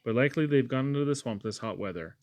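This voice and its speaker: A man reads as a warm-hearted housewife from a storybook. She is practical and fussy, scolding in an emphatic, homely way, with her pitch lifted a little and very little room sound. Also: animated, fast, no echo, lightly acted. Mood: brisk, insistent.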